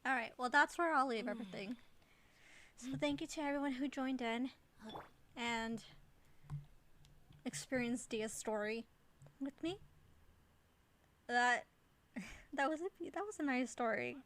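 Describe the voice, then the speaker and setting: A woman's voice: sits high, unhurried, pauses often, a bit bright. A woman game streamer chatting casually in a giggly, chatty manner. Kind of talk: casual livestream chat. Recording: faint noise, clicks.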